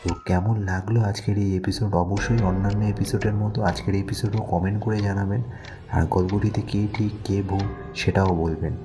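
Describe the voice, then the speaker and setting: A man with a low voice narrates a suspense story. His phrasing is measured and dramatic, in short weighted pieces, with music faintly behind him. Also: steady tone.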